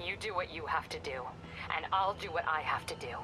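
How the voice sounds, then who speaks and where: A woman's voice: low voice, a little fast, noisy background, lightly acted. An actress playing a stern, stubborn female ex-police captain turned vigilante who speaks in a cool, controlled, determined way in a video game cutscene. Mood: cold. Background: game score music.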